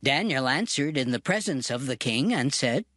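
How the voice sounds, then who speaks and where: A man's voice: medium pitch, expressive, normal speed, a bit bright, no echo, studio quality. A man narrating a dramatized scripture audiobook in a scripted storytelling style, with music underneath.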